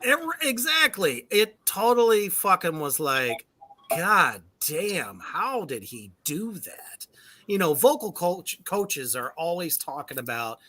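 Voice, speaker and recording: A man's voice: high voice, big pitch swings, slow, bright, forceful tone. A man hosting a casual livestream chat with a band, loose and profane, laughing often. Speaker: podcast host.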